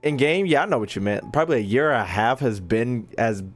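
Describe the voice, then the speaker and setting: A man's voice: mid-range voice, expressive, fairly quick. A young man giving casual live commentary on a game as he streams, slangy and jokey. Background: faint game music.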